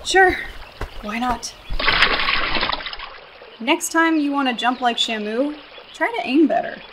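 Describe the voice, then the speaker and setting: A woman's voice: sits high, slow pace, heavy background noise. A young woman with an American accent in a radio play, acting a sarcastic, exasperated hiker. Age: young adult. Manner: sarcastic, incredulous.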